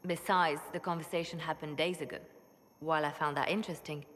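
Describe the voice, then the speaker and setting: Secretive spymaster lady, measured, slightly low, noisy hall. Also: fairly even intonation, fairly bright tone. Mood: calculating. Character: cool, calculating female spymaster. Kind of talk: fantasy video game acting.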